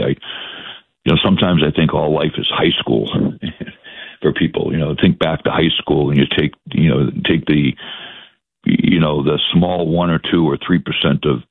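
A man in his sixties from the South Shore of Boston with a Boston accent, talking politics as a guest in a halting way, with many fillers and restarts. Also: very deep voice, slightly rough, no room sound. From Massachusetts, South Shore of Boston. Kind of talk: political talk interview. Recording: dry, phone-like line.

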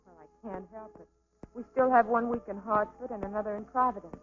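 An actress playing a tender but firm young stage dancer in an old film melodrama. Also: female voice, fairly high pitch, fairly even intonation, a little fast, phone-quality sound, soft background music, close to an everyday voice.

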